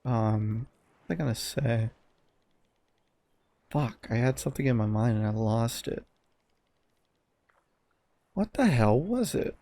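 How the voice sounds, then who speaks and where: A man co-hosting a podcast in casual chat, halting as he searches for his words. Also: medium pitch, lively intonation, warm tone. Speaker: podcast co-host.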